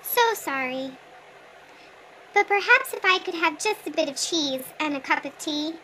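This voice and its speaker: Small polite mouse in a storybook, voiced by a woman: very high, gentle, meek asking. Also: measured pace, slight background noise, low-quality recording.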